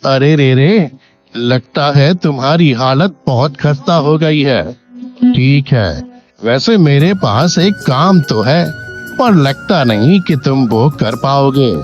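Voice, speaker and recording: An actor playing a rich village farmer boss in a cartoon moral story, patronizing but fair and kindly. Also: male voice, medium pitch, expressive, slow, highs cut off, soft background music. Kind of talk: Hindi animated moral story dialogue.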